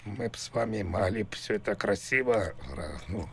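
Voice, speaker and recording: A man in his fifties making a prank phone call, talking in a rambling, halting, insistent way full of innuendo. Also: on the low side, vocal fry, a loud crowd. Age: 50s. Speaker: prank caller. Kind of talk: casual phone prank conversation.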